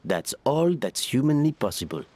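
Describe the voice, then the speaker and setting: A man's voice: mid-range voice, very animated, moderate pace, a little mellow, slight background noise, lightly acted. An actor playing an earnest, supportive male restaurant worker in a video game, speaking emphatically. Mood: reassuring.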